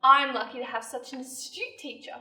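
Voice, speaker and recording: Stage monologue: young woman as cold, sharp-witted period sister, high voice, articulate and emphatic, distant in an echoey room. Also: fairly quick. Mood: cold, controlled. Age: young adult. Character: Hattie, cold sharp-witted accusing Victorian-era sister. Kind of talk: theatrical monologue performance.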